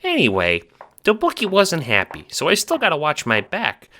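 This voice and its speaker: A man voicing a cheerful, airheaded little minion creature in an audio drama, excitable, slangy and boyish. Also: mid-range voice, normal speed, pitched above the performer's own voice, heavily acted. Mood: nervous. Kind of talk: fan audio drama character acting.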